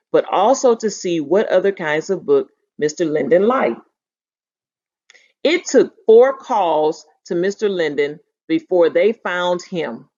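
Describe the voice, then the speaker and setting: A woman teacher reading a children's novel aloud in an animated storytelling manner, in a dull lo-fi recording. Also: fairly low pitch. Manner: animated storytelling, putting feeling into dialogue. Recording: dull lo-fi sound.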